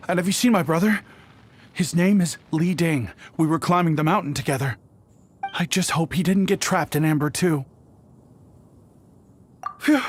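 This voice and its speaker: An actor playing a humble, anxious and grateful young villager in a video game. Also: male voice, sits high, slight background noise.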